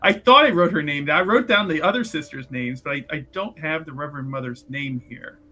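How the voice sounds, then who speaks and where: A man improvising casual, halting and wordy narration as a player in a tabletop roleplaying game. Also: on the high side, pushed and bright.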